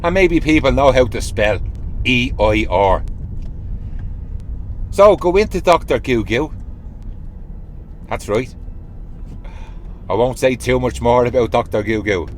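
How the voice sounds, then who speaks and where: Hoarse Dublin man, sore throat. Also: mid-range voice, animated, slow, pauses often, a little hiss or hum. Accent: Irish, Dublin. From Ireland. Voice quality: conspiratorial, teasing, repeats phrases. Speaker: channel host. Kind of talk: casual monologue to camera.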